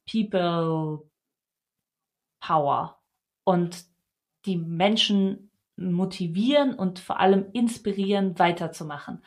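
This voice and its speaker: A woman is speaking as the co-host of a podcast. Her voice sits at an average pitch for a woman. She discusses things in a casual, conversational style.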